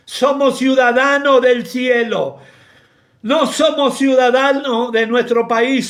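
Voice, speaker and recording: Argentine pastor, man, very high voice, slow and fiery, rebukes and shouts through the sermon. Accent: Argentine Spanish. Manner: impassioned, rebuking, bursts into shouting. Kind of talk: preaching a sermon.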